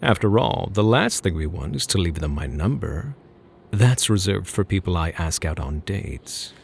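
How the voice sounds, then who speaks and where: A man playing a smug, flirtatious phantom thief in an ASMR roleplay, teasing and mock-polite, with a husky, gravelly voice. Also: deep voice, animated, moderate pace, slight background noise. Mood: smug, mock-caring.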